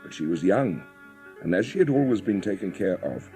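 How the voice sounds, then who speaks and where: Narrator for dramatised audiobook: man, lowish expressive voice, storytelling delivery over faint music. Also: moderate pace.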